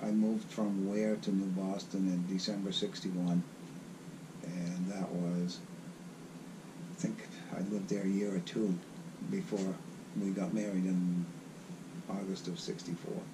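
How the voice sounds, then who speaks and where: An older man with a raspy voice, recalling his past in a halting, rambling way as the guest of an interview. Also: low voice, flat delivery, noisy background. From South Carolina. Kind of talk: casual reminiscing.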